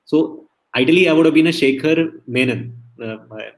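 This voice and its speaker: A man's voice: fairly high pitch, moderate pace, low-quality recording. A middle-aged man from India, a guest in a casual interview, talking in a chatty storytelling way with many restarts. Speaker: interview guest, emcee and entertainer.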